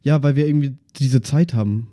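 Male co-host, mid-pitched and extremely mellow, relaxed casual conversation. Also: fairly quick, dry recording, studio quality. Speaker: podcast co-host. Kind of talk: casual chat.

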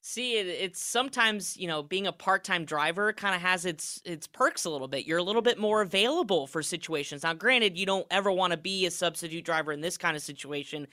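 A man's voice: high voice, brisk, hardly any pauses, pushed and bright. A man hosting a racing talk show from a studio, chatty and upbeat with frequent restarts. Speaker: racing podcast host. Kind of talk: casual sports talk.

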